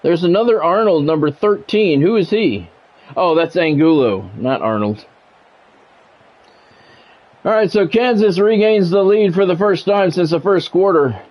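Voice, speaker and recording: A man streaming a football video game, giving excitable play-by-play and bluntly talking at the players in short, urgent, repeated phrases. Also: high voice, a little slow, highs cut off. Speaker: gaming streamer doing play-by-play.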